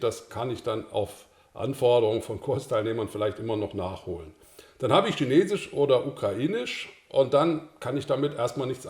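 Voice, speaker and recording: An older German-speaking man talks through a screencast lesson at his desk, calm and explanatory, in a mid-range voice. The small room around his webcam adds a noticeable echo. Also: medium pitch. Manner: calm, explanatory, with occasional filler sounds. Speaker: course instructor. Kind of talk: screencast tutorial.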